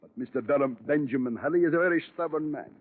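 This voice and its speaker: An actor playing a scheming, self-assured businessman with deliberate, formal phrasing in a 1950s radio drama. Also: on the high side, close to an everyday voice. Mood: calculating. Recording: restored 1950s radio, tinny narrow band.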